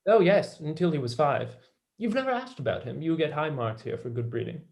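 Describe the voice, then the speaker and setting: A young man playing a passionate, blunt, excitable mathematician in a staged play reading. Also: fairly high pitch, a little fast, vocal fry. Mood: wry. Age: young adult.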